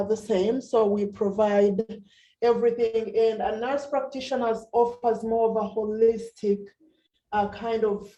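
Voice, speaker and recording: A woman, a nurse practitioner giving an informal talk at a meeting, pausing often with fillers. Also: medium pitch, mellow, roomy sound, recorded from a distance, low-quality recording. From Uganda. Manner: halting, many fillers.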